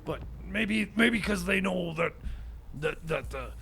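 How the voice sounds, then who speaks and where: A man playing a jittery survivalist who fights a war for Christmas, stammering, repeating words and bursting into shouts on a radio comedy show. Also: high-pitched, expressive, slow pace, creaky, a little hiss or hum, exaggerated voice. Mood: defiant. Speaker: regular character on a radio show.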